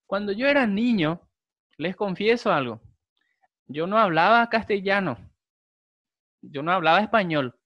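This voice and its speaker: A young adult man, a Guarani speaker, preaching a sermon, with slow, emphatic repetition. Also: sits high, lively intonation, fairly bright tone, no echo, dull audio. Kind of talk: sermon with prayer.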